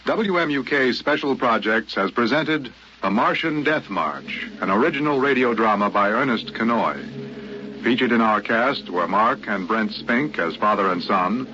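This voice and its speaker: A man's voice: medium pitch, expressive, unhurried. Guy announcing radio show credits, medium voice, dull hissy old recording.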